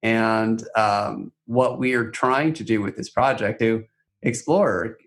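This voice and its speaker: A man co-hosting a podcast, speaking conversationally in a thoughtful, measured manner. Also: on the low side. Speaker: podcast co-host. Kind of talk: conversational podcast talk about a theater project.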